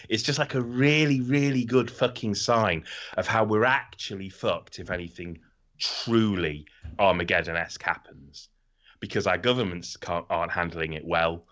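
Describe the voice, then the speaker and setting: A man's voice: low voice, a bit bright, dull audio. A man co-hosting a podcast in casual, comedic chat, ranting animatedly and swearing freely. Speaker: podcast co-host.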